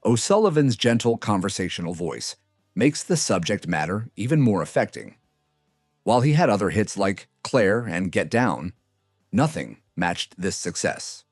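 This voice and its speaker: A man's voice: lowish voice, very animated, normal speed, no room sound. A man reading a scripted music countdown as its narrator.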